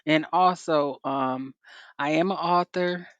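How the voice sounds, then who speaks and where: A woman with a fairly deep voice co-hosting a casual podcast, chatting loosely with lots of "you know" and "like" and frequent laughter. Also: takes it slowly, dry recording, lo-fi sound. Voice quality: deeper voice. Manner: laughs often, many fillers like "you know". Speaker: podcast co-host. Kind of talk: casual chat.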